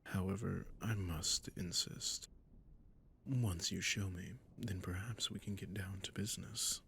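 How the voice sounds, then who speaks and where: A man playing a sadistic, possessive vampire lord in intimate roleplay audio, with a breathy, gravelly near-whisper and silky, mock-courteous, condescending endearments. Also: sits low, fairly even intonation, a little slow, fairly bright tone, slight background noise, full character voice. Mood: silky, teasing.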